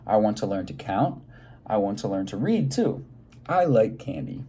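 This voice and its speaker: Man putting on naive, excitable storybook moose, Morris. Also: lowish voice, normal speed, breathy edge. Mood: eager, earnest. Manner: earnest, exclaiming, simple short phrases. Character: Morris, naive eager childlike male moose.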